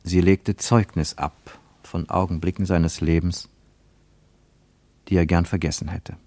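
A man reading scripted literary narration for radio in a measured, solemn manner. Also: low-pitched, steady tone, warm tone, no room sound.

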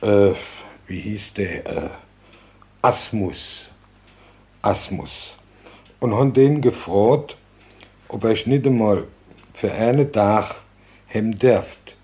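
An older man playing a war veteran who haltingly recalls his youth, searching for words. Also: lowish voice, dark tone. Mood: reminiscing, halting. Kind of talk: halting spoken recollection.

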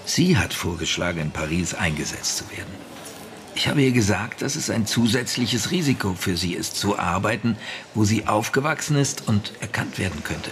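Radio play casting: wartime spymaster, lowish voice, brisk, emphatic, unsentimental, faint ambience behind. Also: male voice, animated, background noise. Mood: matter-of-fact. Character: hard-nosed male British spymaster, brisk, impatient, unsentimental. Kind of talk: radio drama dialogue.

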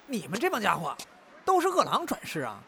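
Audio drama senior cook male actor: genial mock complaints, wildly animated, clear ambient noise. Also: high voice, brisk, heavily acted.

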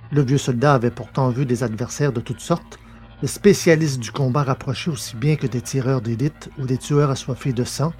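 A man reading scripted science fiction narration in a measured, literary way. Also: medium pitch, a little mellow. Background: music.

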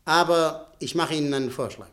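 A slightly high-pitched male voice played by an actor for a shrewd company director in a radio play. He is polite, formal and measured, always controlling the deal. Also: a bit bright, close to an everyday voice. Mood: smooth, businesslike. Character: shrewd, composed businessman director, courteous and controlling. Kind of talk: German radio play acting.

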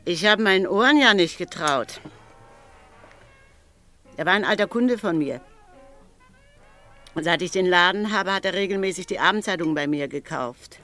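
An actress playing a gossipy, knowing newspaper seller in a German radio drama, chatty and insinuating. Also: female voice, on the low side, lively intonation, moderate pace, long pauses, pushed and bright, soft background music. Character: gossipy female newspaper seller, uneasy busybody.

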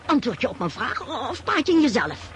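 Imperious, nagging old countess, wildly animated. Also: female voice, sits high, a little fast, a little hiss or hum. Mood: irritated. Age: older. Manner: querulous, stammering, demanding. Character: old countess, imperious, nagging, bombarding her companion with questions. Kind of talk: radio drama acting.

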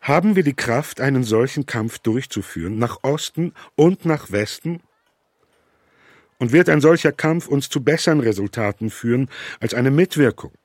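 An actor reading the letters of a worried 19th-century businessman to his brother, in a measured, earnest way over faint music. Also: mid-range voice, animated, a little mellow, no echo, studio quality, natural voice. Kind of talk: reading letters aloud in a radio documentary.

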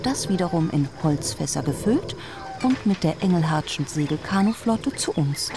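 A colonial trading boss giving a composed, matter-of-fact account in a radio play. Her voice is on the low side, and clear background noise runs behind her. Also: animated, normal speed. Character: businesslike colonial trading-company owner reporting on a supplier. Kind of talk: scripted radio play narration.

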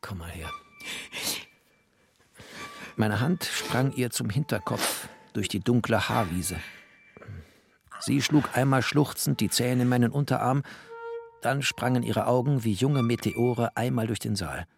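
An actor playing a bookish, tender and wry first-person narrator with poetic, deliberate phrasing in a literary radio drama. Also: male voice, medium pitch, vocal fry, over music.

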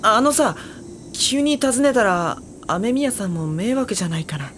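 A woman playing a polite, hesitant high school boy in an audio drama. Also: lively intonation, measured pace, fairly bright tone, a little hiss or hum. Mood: uneasy. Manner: polite, hesitant, slightly exasperated. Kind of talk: Japanese audio drama acting.

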